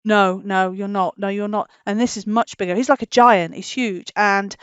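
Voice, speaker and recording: A woman hosting a children's storytime, telling a tale informally in simple, animated explanations. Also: medium pitch, moderate pace, clean tone, no room sound, muffled recording. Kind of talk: informal storytelling to a puppet dog.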